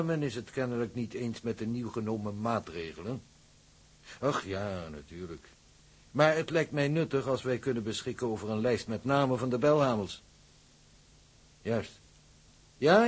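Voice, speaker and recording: A man playing a formal, calculating shipping company official in a radio drama, measured and officious. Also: medium pitch, slightly rough.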